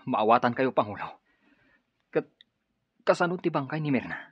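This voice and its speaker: A man's voice: mid-range voice, lively intonation, normal speed, husky, no room sound. A man with a Filipino accent playing a ruthless, sneering rebel henchman in a radio drama. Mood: reporting, subdued. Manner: taunting, threatening. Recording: muffled lo-fi radio drama audio.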